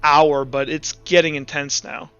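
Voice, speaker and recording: A man runs a tabletop game with a smooth, slightly high voice. His improvised narration is informal and stumbles along casually. Music sits behind him and the sound is a bit muffled. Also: little pitch movement, fairly bright tone, dry recording. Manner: informal, halting, filler-heavy. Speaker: game master.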